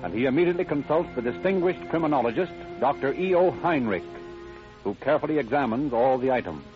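An actor playing the solemn, deliberate narrator of an old-time radio crime drama. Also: male voice, medium pitch, animated, lightly acted. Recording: dull vintage radio recording. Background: music.

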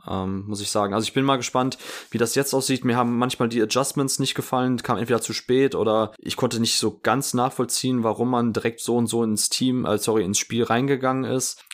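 A man with a medium-pitched voice analyses sports in a casual podcast manner. He is wordy and informal, peppering his sentences with English basketball terms. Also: fairly even intonation, a little fast.

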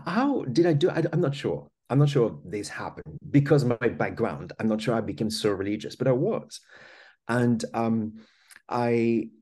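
A man's voice: medium pitch, mellow, breathy edge. French-accented man telling his story. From France. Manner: earnest, many small restarts and "you know". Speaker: podcast guest. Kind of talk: conversational storytelling.

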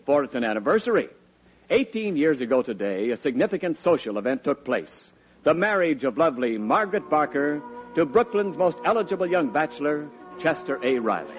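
A man announcing and narrating a 1940s radio comedy in a polished, tongue-in-cheek formal style. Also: on the high side. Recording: muffled vintage radio broadcast recording.

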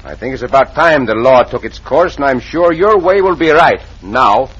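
Man playing the Cisco Kid, lively gallant outlaw. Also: mid-range voice, moderate pace, natural voice. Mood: calm authority. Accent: Mexican. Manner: courtly, formal phrasing. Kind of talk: old-time radio western acting. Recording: dull, noisy vintage radio recording.

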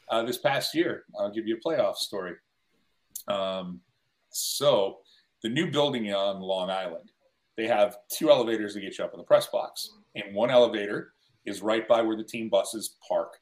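A middle-aged man in casual conversation as a guest sports broadcaster, telling stories with wry, self-deprecating humour. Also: on the low side. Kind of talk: casual chat, storytelling.

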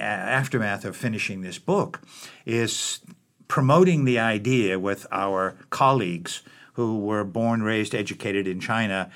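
An older man with a fairly low voice talks in an interview as a professor. He reflects aloud, often pausing with fillers and restarting his sentences. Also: fairly bright tone. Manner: thoughtful, with many fillers and restarts. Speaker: book editor, professor. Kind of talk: conversational interview.

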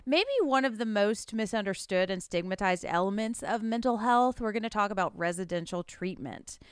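A woman from the Deep South, co-hosting a podcast in casual chat, giggly and upbeat. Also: normal speed, clear, resonant tone, no echo, clean studio sound. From American Deep South. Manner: giggly, upbeat, talks over others. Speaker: podcast co-host.

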